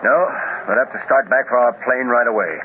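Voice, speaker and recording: An actor playing a steady, encouraging leader of a jungle expedition in an old radio adventure, decisive and reassuring. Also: male voice, medium pitch, brisk, close to an everyday voice. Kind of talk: 1930s-40s radio adventure acting. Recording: noisy, muffled old radio recording.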